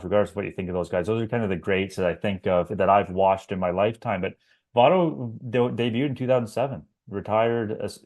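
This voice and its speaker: Podcast co-host, 30s man from Canada, deep voice, easygoing sports chat. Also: a little mellow, highs cut off. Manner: conversational, rambling with fillers.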